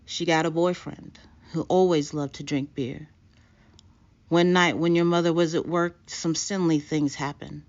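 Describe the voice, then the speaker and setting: Woman reading as a calm, foreboding blind fortune-teller, lo-fi. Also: low-pitched, measured pace. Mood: solemn foretelling. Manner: calm, solemn, oracular. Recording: dull lo-fi recording.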